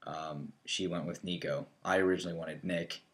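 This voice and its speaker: A man's voice: deep voice, fairly even intonation, moderate pace. A man in his early twenties, co-hosting a casual chat in a plain, matter-of-fact way with a wry edge. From northeastern Ohio. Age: 20s. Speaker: co-host.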